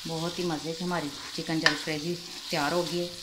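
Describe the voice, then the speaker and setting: A woman talks through her cooking in a low, even voice that rarely rises or falls. Her pace is unhurried and friendly, and she nudges the viewer along with little prompts between steps. Loud frying and stirring noise from the pan fills the phone recording. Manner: calm, unhurried explaining with friendly "chalein ji" prompts. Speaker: cooking channel host. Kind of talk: step-by-step cooking instruction. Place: home kitchen, at the stove.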